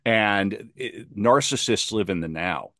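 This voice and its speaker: Low-voiced man, earnest and discursive, dry sound. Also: animated, slow, pushed and bright, no echo. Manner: earnest, discursive, emphatic repetition. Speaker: podcast guest. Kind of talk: casual reflective conversation.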